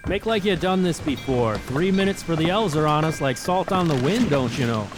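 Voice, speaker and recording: A man acts out a hearty ship's captain in an audio drama. His highish voice swings widely as he rallies the crew in folksy sailor talk. Music plays behind him. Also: animated, moderate pace, lightly acted. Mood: hearty, commanding. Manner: folksy nautical slang, hearty commands. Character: jaunty male ship captain barking orders to his crew.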